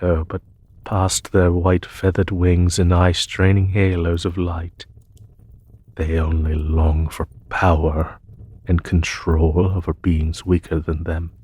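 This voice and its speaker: A man playing a noble, weary, peace-seeking demon emperor in a fantasy audio roleplay, speaking in a formal, measured way. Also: deep voice, little pitch movement, darkish tone, husky, full character voice. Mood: grave, disdainful. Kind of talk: fantasy audio roleplay, spoken softly to one listener.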